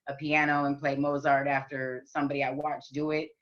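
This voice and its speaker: A woman with a deep, raspy voice, talking candidly as a podcast guest with frequent ums and restarts. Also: flat delivery, fairly quick, dull audio. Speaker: podcast guest, rapper and singer. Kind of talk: casual interview chat.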